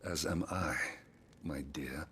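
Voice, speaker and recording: A weary British ruler in a game cinematic. His voice sits very deep, measured and firm with a dry wryness, carrying the duty of a middle-aged archduke. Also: fairly bright tone, slight background noise, exaggerated voice. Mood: wry, weary. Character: weary, dutiful archduke and loving father. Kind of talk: video game cinematic acting.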